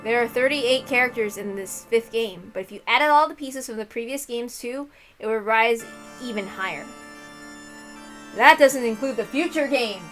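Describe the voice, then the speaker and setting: Woman narrator, fairly high voice, expressive story reading, casual asides, faint background music. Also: moderate pace. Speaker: narrator and reader of a visual novel.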